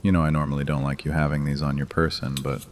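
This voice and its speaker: A man's voice: rumbling low, level tone, fast talker, slight background noise. An actor playing a wry, unruffled male vampire clan leader with dry, sardonic banter in an audio drama. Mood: businesslike.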